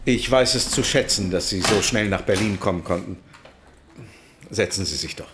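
An actor playing a courteous defence lawyer of about sixty in a radio drama, formal and matter-of-fact. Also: male voice, deep voice, normal speed, very noisy, lightly acted. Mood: grave, composed. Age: 60s. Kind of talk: German radio drama acting.

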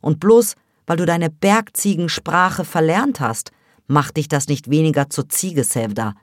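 A scornful teenage girl from a storybook, mocking and gossipy, who pitches her voice up whenever she lectures. A woman acts her out close to the mic in a dry, deadened space. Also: measured pace, no echo. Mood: scolding. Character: scornful teenage girl, sharp-tongued smoker.

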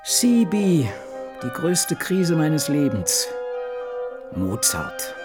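An anguished, introspective novelist in a literary radio play. The delivery is intimate and measured, yet the melody swings expressively. Music plays clearly behind the voice. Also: male voice, fairly high pitch, sing-song, heavily acted. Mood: brooding, bitter. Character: brooding, anguished novelist confiding in a diary.

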